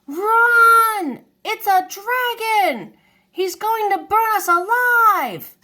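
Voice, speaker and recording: A woman's voice: extremely high pitch, expressive, extremely slow, bright, forceful tone, voice pushed up, full character voice. A woman voicing a terrified young schoolboy in a children's storybook, shrieking in panic. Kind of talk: children's storybook character reading.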